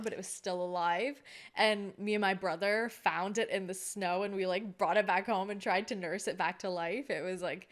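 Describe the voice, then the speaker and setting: A woman in her thirties, a Kannada speaker from India with a mixed Welsh and Canadian accent, chatting as an interview guest, laughing often. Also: lowish voice. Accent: mixed Welsh and Canadian English. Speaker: startup founder, interview guest. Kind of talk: casual interview conversation.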